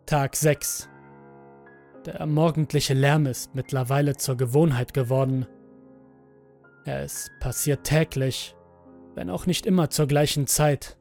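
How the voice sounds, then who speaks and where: A man reads a horror audio story in a slightly high voice. His delivery is slow, deliberate and dramatic, with music playing faintly underneath. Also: vocal fry, a little mellow. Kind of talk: scripted first-person horror audio story narration. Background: background music.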